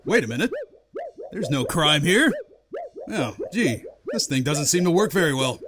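A man playing a huge, dim, self-important superhero tick in a comic-book audio drama, pompous, melodramatic and halting when cornered. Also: on the high side, big pitch swings. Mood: excited, then puzzled. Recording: music behind the voice.

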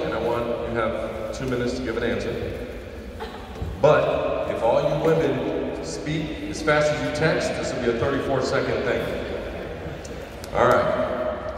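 A man's voice: medium pitch, noisy background. A middle-aged man from Minnesota emceeing a senior night ceremony with joking banter, recorded from far off in a large school gym. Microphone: far handheld camera. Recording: echoey gym from far off with crowd.

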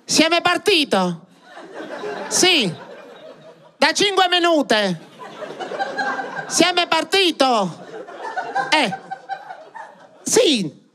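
A man voicing an elderly woman who shouts slowly down the phone on a train. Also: big pitch swings, crowd noise, exaggerated voice. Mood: loud, fussy. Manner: shrill, shouting, slow and drawn out. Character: elderly southern woman phoning loudly on a train.

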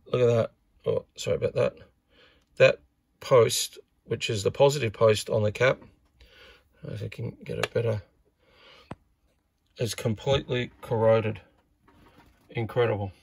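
Husky, gravelly man talking shop. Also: lowish voice, dry recording. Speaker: radio repair hobbyist presenter. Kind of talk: casual hands-on repair commentary. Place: indoors at an electronics workbench.

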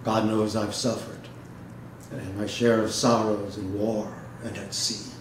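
A man giving a dramatic literary reading and talk to an audience, speaking in a measured way with pauses. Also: on the low side, flat delivery. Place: indoor hall, seated before an audience. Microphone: microphone on a stand, recorded by a distant camera. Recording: echoey room, noisy background.

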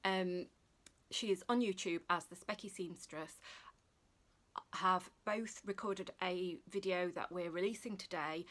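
Lisping Yorkshire woman, lowish voice. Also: fairly bright tone. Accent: Yorkshire English. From Sheffield, northern England. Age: 40s. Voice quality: slight lisp. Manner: chatty, informal. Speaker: sewing vlogger. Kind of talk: casual chat to camera. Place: small furnished room indoors.

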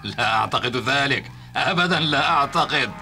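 A man's voice: medium pitch, expressive, fairly quick, natural voice. A man voicing a boastful, bumbling young henchman of a witch in a cartoon dub, with eager, exaggerated bluster. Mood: boastful, menacing. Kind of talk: theatrical cartoon dubbing. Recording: music under cartoon dialogue.

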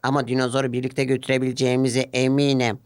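A man's voice: mid-range voice, completely flat delivery, fast talker, clean tone, no echo, natural voice. Man voicing a timid little cartoon steam engine. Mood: apologetic. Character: timid, remorseful little male steam locomotive. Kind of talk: children's cartoon character dialogue.

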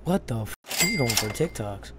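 A man's voice: medium pitch, big pitch swings, normal speed, very noisy. A man chatting casually and trash-talking while gaming, with slangy, profane, teasing remarks. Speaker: gamer playing a wager match. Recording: loud music under the voice. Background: loud music.

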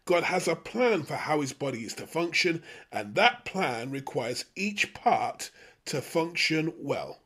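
Husky, higher-pitched male Bible teacher. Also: very animated, a little slow, fairly bright tone. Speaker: host of a Bible study show. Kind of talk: scripted teaching narration.

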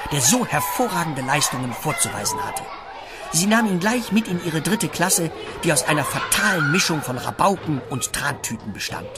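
A woman narrating a children's story from a script. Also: sits low. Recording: loud music under narration.

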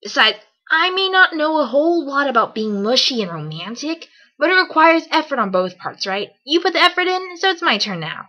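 Bright, brash tomboy pegasus played by a woman. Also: sits high, big pitch swings, a little fast, few pauses, full character voice. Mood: playful, sincere. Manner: casual, bold. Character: brash, cocky, loyal tomboy female pegasus pony. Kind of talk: amateur audio drama acting.

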